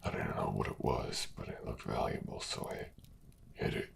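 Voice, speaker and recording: An actor playing a weary, dying miner in a fantasy audio drama, pleading in a weak, halting, breathy half-whisper. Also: male voice, rumbling low, exaggerated voice. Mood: weary resignation. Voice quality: gravelly, breathy rasp.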